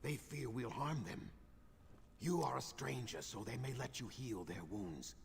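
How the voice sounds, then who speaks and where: Reptilian healer for a fantasy game: creaky, expressive, formal, earnest and wary, over light background ambience. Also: male voice, medium pitch, in a large hall, a little hiss or hum. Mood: earnest, guarded. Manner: formal, measured phrasing. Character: earnest male lizard-folk healer, wary outcast, courteous. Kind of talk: video game character acting.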